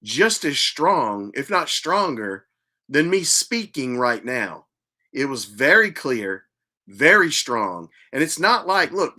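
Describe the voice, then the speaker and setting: A man earnestly telling a personal spiritual story as host, repeating himself for emphasis and sometimes dropping to a hushed voice. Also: medium pitch. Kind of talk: personal testimony monologue.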